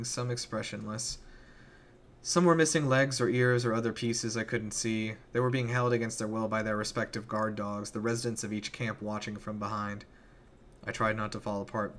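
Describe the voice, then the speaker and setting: A man's voice: on the low side, fairly bright tone, slight background noise. A man giving an intense, theatrical dramatic reading of a first-person horror story in a grave manner. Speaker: narrator.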